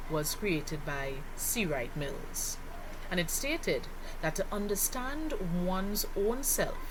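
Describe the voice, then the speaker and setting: Slow, deliberate woman lecturing, noisy recording. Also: fairly low pitch. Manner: deliberate, teacherly, with rhetorical questions and emphatic stresses. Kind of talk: explanatory lesson voice-over. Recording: noticeable background noise.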